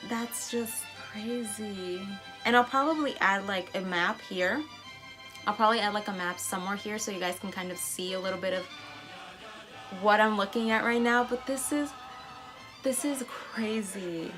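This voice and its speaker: Excitable young woman vlogger, faint music. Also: medium pitch, normal speed, rough recording. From United States. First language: Spanish. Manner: excitable, reads results aloud with surprised asides. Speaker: travel vlogger. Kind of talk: casual vlog reaction.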